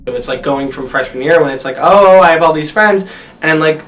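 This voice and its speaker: A man in his twenties from upstate New York, answering interview questions softly and with hesitations. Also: on the high side, fairly quick. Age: 20s. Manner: soft-spoken, hesitant, trailing off. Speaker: resident assistant. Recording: distant mic, music underneath.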